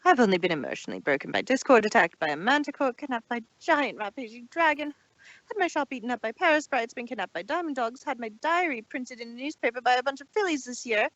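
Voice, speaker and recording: A woman's voice: fairly high pitch, expressive, quick pace, fairly bright tone, no room sound, dull audio, pitched above the performer's own voice. A woman voicing an elegant adult female pony fashion designer with an airy, rambling, theatrical manner in a story reading.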